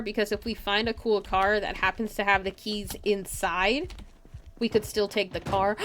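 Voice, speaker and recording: A woman's voice: mid-range voice, a little hiss or hum. A woman giving casual live gameplay commentary in a playful way, talking to herself and her chat. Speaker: game streamer, host.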